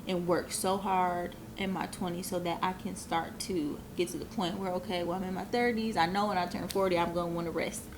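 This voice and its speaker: A woman's voice: fairly low pitch, steady tone, fairly quick, few pauses, background noise. A woman in her twenties, a business owner, talking earnestly and reflectively as an interview guest, with many filler phrases. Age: 20s. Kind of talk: casual interview talk.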